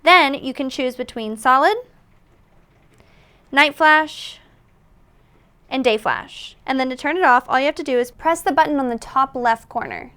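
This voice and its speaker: A woman's voice: high-pitched, unhurried, long pauses, pushed and bright, smooth. A woman presenting a product tutorial in an instructional, step-by-step manner. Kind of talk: tutorial narration.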